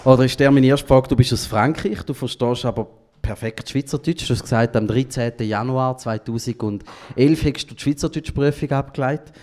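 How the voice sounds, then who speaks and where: A man's voice: mid-range voice, moderate pace, a little mellow. Swiss German man hosting a talk show. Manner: musing, loose conversational phrasing. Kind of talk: casual interview talk.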